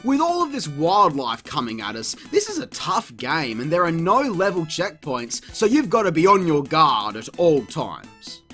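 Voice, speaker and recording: Animated high-voiced man reviewing games. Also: a little slow, few pauses, faint music behind. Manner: exclamatory, sarcastic, crude swearing. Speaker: video game reviewer, host. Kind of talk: comedic review commentary, part scripted.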